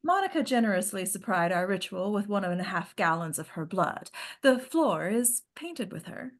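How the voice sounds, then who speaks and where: A woman playing a sinister ancient madame who leads a cult ritual in a horror script read, with drawn-out, theatrical menace. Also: mid-range voice, big pitch swings, close to an everyday voice. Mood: ominous.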